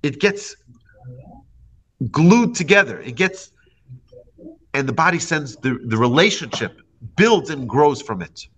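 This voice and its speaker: A man in his forties, a rabbi giving a lively class with stories and acted-out quotes, speaking close into a studio mic in a small room. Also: on the high side, measured pace, pauses often, husky, rough recording. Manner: lively storytelling with hand gestures and acted quotes. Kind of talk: animated Torah lecture. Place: indoor home studio before a plain wall. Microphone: large studio mic on boom arm, close.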